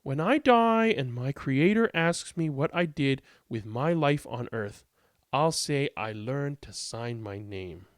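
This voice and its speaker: A man voicing a proud, gentle elderly grandmother from a storybook in earnest, simple speech. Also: medium pitch, animated, takes it slowly, dry recording, studio quality. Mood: tender pride.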